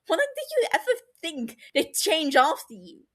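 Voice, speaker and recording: A woman voicing a bitter, wounded younger brother in an audio story, heated and scornful, breaking into breathy hurt. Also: sits high, huge pitch swings, measured pace, fairly bright tone, voice pushed up, exaggerated voice. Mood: bitter, anguished.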